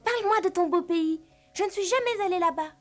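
A woman's voice: very high voice, a little fast. A woman voicing a kind, polite, sheltered young girl in a French-dubbed cartoon. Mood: kindly curious. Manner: gentle, curious, well-bred. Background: music.

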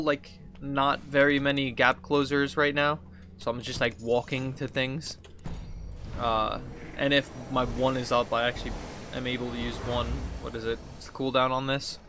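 A man's voice: medium pitch, vocal fry, a bit bright, a little hiss or hum. A man in his thirties giving casual, rambling let's play commentary, thinking aloud as he plays. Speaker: gaming video host. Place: indoors at a computer desk.

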